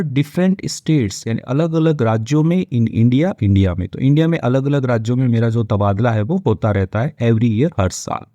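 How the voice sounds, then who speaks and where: A man's voice: mid-range voice, mellow, no echo, highs cut off. An Indian-accented man teaching English, reading a story line by line and explaining it in colloquial asides.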